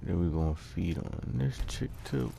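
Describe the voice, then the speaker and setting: A man's voice: deep voice, expressive, normal speed, creaky, background noise. A man giving casual, laid-back, slangy commentary over his own gameplay. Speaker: gaming host.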